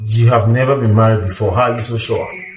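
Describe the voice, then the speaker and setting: An earnest, contented married man, played by a male actor in a film drama. He sounds distant and echoey, with a fairly low voice and clear music behind him. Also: steady tone, quick pace, close to an everyday voice. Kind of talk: Nollywood film acting.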